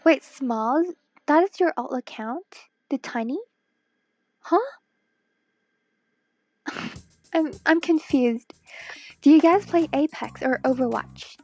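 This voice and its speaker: Woman, mostly American accent, high voice, slow pace, casual stream chat, playful. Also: a little mellow, no room sound, soft background music. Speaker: streamer, digital artist. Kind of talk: casual livestream chat while drawing.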